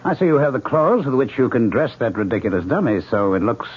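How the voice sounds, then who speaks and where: A manipulative male ghost, husky, tinny old radio sound, lively pitch. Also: fast. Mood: scheming. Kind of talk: 1970s radio drama acting.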